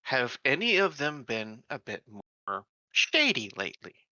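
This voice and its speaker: A man's voice: medium pitch, huge pitch swings, a little slow, bright, forceful tone, no echo, lo-fi sound. A man talking casually as a player in a tabletop roleplaying game.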